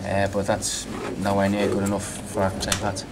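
A man's voice: on the low side, completely flat delivery, normal speed, recorded from a distance, very noisy. A man speaking as a football manager in a post-match press interview, curt and blunt. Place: room with reporters, table. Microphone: reporters' phones held out.

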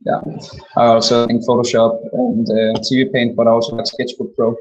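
A man's voice: medium pitch, moderate pace, dull audio. A young Danish man with a Danish accent, an animation studio co-founder answering questions in a casual live Q&A over a webcam, rambling with many fillers. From Denmark. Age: young adult. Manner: flat, rambling delivery with frequent fillers. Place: small indoor room, webcam video call.

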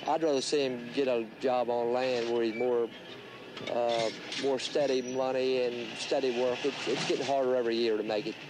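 A man's voice: mid-range voice, steady tone, normal speed, background noise. A middle-aged man who fishes oysters, speaking earnestly in an interview outdoors by the water and repeating himself. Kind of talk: casual interview.

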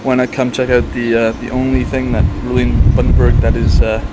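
A man's voice: medium pitch, a little fast. A young man in his twenties filming a casual travel diary outdoors on a building site, rambling with lots of ums, on a handheld camcorder. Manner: relaxed, rambling, many ums and uhs. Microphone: camcorder held at arm's length. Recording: loud wind and road noise.

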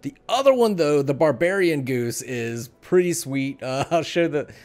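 A man's voice: on the high side, expressive, moderate pace, a little mellow. A middle-aged man hosting a casual podcast, chatting and bantering in a teasing, joking way. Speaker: podcast host. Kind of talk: casual chat, plugs and banter. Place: small room at home, indoors. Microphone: desk mic on boom arm, headset.

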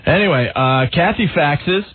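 Husky man on a tinny radio broadcast. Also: a little high, lively intonation, unhurried. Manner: easygoing, jokey, plugs the station. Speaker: radio host. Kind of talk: casual radio banter. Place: radio studio. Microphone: studio mic on a boom.

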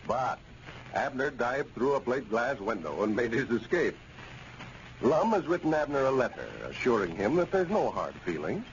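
An American man announces in a vintage radio style, reading a scripted recap with very lively intonation at a medium pitch. The recording is dull and slightly hissy. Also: moderate pace. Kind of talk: 1940s radio scripted narration.

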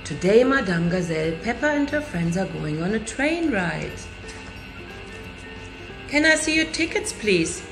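Slow-reading woman in an echoey classroom. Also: mid-range voice. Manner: lively storybook reading with raised voices for characters. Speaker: nursery teacher. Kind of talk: reading a picture book aloud to children. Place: classroom indoors.

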